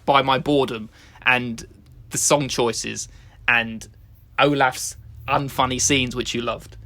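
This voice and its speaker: A man's voice: medium pitch, fairly even intonation, takes it slowly, pushed and bright. A young man co-hosting a casual film review podcast, hedging and defending his opinions with many restarts. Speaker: podcast co-host.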